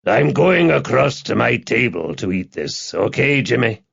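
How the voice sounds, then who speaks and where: A man's voice: medium pitch, sing-song, measured pace, gravelly, fairly bright tone, husky, lo-fi sound, voice pushed up, exaggerated voice. A man voicing a hulking male pub regular with childlike glee in an audiobook. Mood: blunt.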